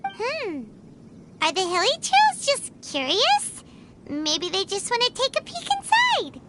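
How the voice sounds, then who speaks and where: A woman voicing a tiny, excitable childlike fairy companion in a video game, timid yet bossy. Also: very high-pitched, slow pace, heavy background noise, heavily acted. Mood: curious. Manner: speaks of herself in third person, hesitant then indignant. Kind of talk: animated video game voice acting. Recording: loud music behind. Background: game music.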